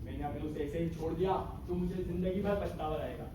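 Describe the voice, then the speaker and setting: A man's voice: a little high, quick pace, natural voice. A young man playing a hot-headed, defiant army captain in an amateur stage play, declaiming his lines. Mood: defiant, brooding. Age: young adult. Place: stage in a hall, distant microphone. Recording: echoey hall from far off, noisy.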